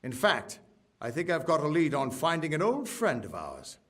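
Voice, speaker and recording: Lively, chummy adventurer ally. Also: male voice, on the high side, moderate pace. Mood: friendly, confident. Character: chummy, streetwise male ally in fantasy adventure. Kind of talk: scripted fantasy game acting.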